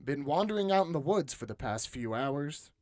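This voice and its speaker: Man doing a lively, high-pitched feisty young man. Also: moderate pace, dry recording, clean studio sound, natural voice. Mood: wry. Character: feisty young male elf-game player, protective boyfriend.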